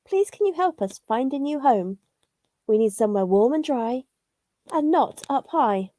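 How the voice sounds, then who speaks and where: A woman's voice: high-pitched, lively intonation, a little slow, warm tone, dry recording. A woman voicing small, polite, homeless worms in a children's storybook, with sing-song pleading.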